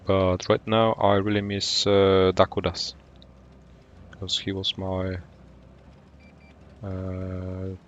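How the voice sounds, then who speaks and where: Low-voiced Polish man streaming a game. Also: lo-fi sound, slight background noise. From Poland. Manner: relaxed, thinking aloud with fillers. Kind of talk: gameplay commentary and chat replies.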